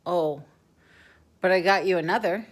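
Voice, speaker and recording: A woman plays a small, gentle storybook mole who loves cake. The delivery is earnest and simple, with cheerful warmth in a fairly low female register. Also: normal speed, natural voice. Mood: sheepish, eager.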